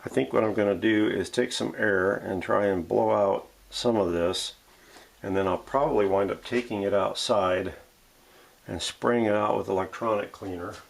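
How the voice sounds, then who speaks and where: Low-voiced man thinking aloud at the workbench. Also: fairly even intonation, moderate pace, gravelly. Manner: muttering step-by-step commentary, thinking aloud. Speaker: vintage radio restorer hosting a workshop video. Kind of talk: casual hands-on narration while working. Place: home workshop.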